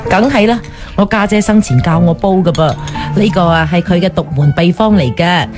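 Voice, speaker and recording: Woman acting gossipy pushy sister-in-law in a radio drama, very emphatic, music clearly behind her. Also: on the low side, normal speed, natural voice. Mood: proud, chatty. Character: chatty, forthright sister-in-law, effusive and pushy.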